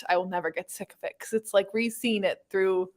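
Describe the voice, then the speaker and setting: A woman's voice: mid-range voice, fairly even intonation, brisk. A woman chatting casually and informally as a host of a book readalong live show. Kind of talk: casual chat.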